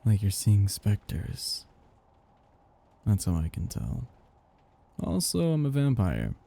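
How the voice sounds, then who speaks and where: Casting: male actor as ancient vampire master for ASMR roleplay, very dark and breathy, gravelly edge, possessive, teasing. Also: on the low side, wildly swooping pitch, takes it slowly, heavily acted. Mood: seductive, menacing. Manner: languid, teasing, condescending endearments, soft chuckles. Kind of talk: intimate ASMR roleplay monologue.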